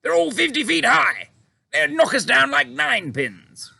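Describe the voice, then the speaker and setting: A man's voice: very high voice, huge pitch swings, a little slow, vocal fry, pushed and bright, dry recording, voice pushed up, full character voice. A man voicing an irritable, blustering army general who snaps indignantly. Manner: indignant and snappish.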